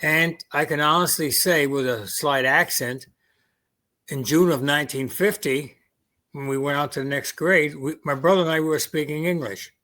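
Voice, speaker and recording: A man's voice: a little high, moderate pace, sharp tone. A man in his eighties with a Queens New York accent, German-born with German as his first language, reminiscing in a casual interview and quoting his family's words. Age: 80s. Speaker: veteran guest.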